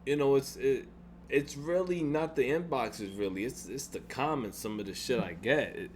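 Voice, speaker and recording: A young man with a deep voice vents in a home-made gaming vlog. He rambles, repeats himself and swears, and a faint electrical hum sits under his speech. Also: moderate pace. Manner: frustrated, rambling with frequent restarts and swearing. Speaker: gaming YouTuber. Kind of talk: casual venting vlog.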